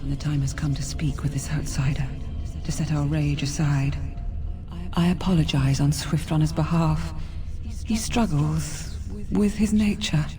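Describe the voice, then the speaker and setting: A woman voicing an ancient forest spirit in a fantasy game, serene and commanding, with measured, formal, soothing speech. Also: sits low, music in the background. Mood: grave, calm. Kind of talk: fantasy video game acting.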